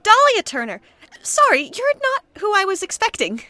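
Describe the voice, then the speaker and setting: A woman playing a sweet, flustered, soft-hearted undercover spy in a comedy audio drama. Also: very high-pitched, wildly swooping pitch, moderate pace, no echo, full character voice.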